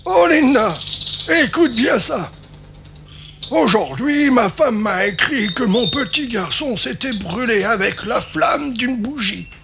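Cartoon old explorer professor, very high creaky voice, unhurried, fussy and courteous, music behind. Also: male voice, expressive, background noise, heavily acted. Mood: musing. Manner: fussy, polite, exclaiming.

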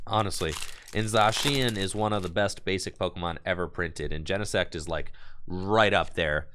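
A man opening card packs on a live stream, reading card text aloud and hyping his pulls for chat, his voice going hoarse. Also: low voice, a bit bright. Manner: enthusiastic, hype-building, reads card text aloud. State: losing his voice.

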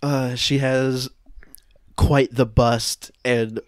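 A man's voice: mid-range voice, flat delivery, extremely slow, smooth, no room sound, studio quality. A man in his twenties improvising comic scene narration as a podcast performer. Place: home room on a video call.

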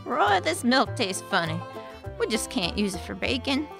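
A woman acts out a complaining Old West customer in an audio drama. Her suspicious voice is high and lively with a creaky edge, set over clear music. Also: normal speed. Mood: suspicious complaint.

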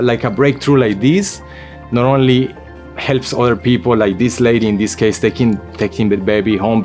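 A man's voice: fairly low pitch, darkish tone. A man, around his thirties or forties, sharing a personal testimony in an informal storytelling way. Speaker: church member giving testimony. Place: indoor photo studio, seated before a backdrop. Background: background music.

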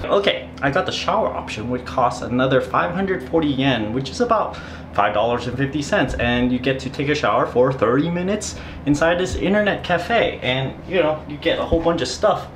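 A man's voice: mid-range voice, animated, fairly quick. Man, thirties, casual travel vlog, camera at arm's length, explains the details, noisy background hum. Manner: chatty, explains costs and details while walking around. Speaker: travel vlogger. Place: small internet cafe indoors, later a street. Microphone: handheld camera at arm's length.

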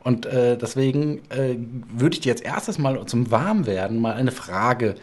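A man's voice: medium pitch, a little slow, a little mellow. A middle-aged man hosting a casual, chatty interview from a home studio. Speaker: talk show host. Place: home studio room indoors.

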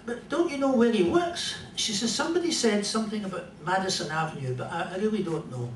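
An older Scottish man with a fairly high voice tells stand-up jokes in a dry, rambling way. He is picked up from a distance in a roomy club, with room noise clearly behind him. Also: lively intonation. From Scotland. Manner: dry, rambling joke delivery with pauses. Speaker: comedian and musician. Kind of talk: stand-up storytelling. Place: small club stage in front of a band. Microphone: stand mic, filmed from a distant camera. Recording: roomy club sound from far off.

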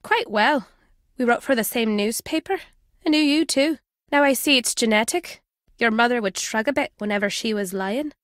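A woman plays an older British former spy and journalist in a video game. Her voice sits fairly high, in a dry, close recording. She is wry and guarded, teasing yet fond as she speaks. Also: normal speed, fairly bright tone, dry recording, lightly acted. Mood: guarded, serious. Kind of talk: video game character dialogue.

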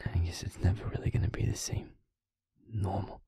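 A man playing a feisty, wounded young werewolf boyfriend in an intimate audio roleplay, breathy and husky, speaking haltingly with heavy pauses. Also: warm tone, no room sound, natural voice. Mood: tired, tender. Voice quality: breathy, husky, often half-whispered.

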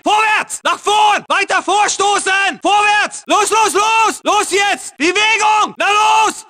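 Ww2 soldier in a video game, yelling short combat barks, super sharp and forceful. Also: male voice, very high voice, full character voice. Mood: urgent, commanding. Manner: barking short, urgent combat orders. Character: aggressive male German Second World War soldier. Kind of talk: shouted battlefield barks in a war shooter.

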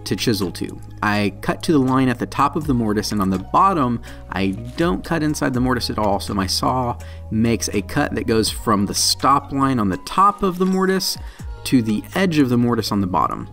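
A man's voice: mid-range voice, lively intonation, moderate pace. A man in his thirties, a channel host, narrating a woodworking build in a plain, practical and self-deprecating way. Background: soft guitar music.

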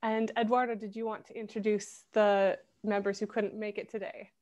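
A woman's voice: mid-range voice, level tone, moderate pace, a little mellow, highs cut off. A woman moderating a video call, giving brief prompts to each speaker.

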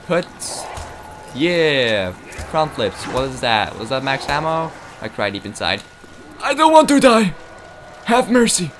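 A man's voice: on the high side, big pitch swings, a little slow, fairly bright tone. A young man giving casual, rambling, self-mocking commentary while playing a game. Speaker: gameplay commentator. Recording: noisy game audio behind.

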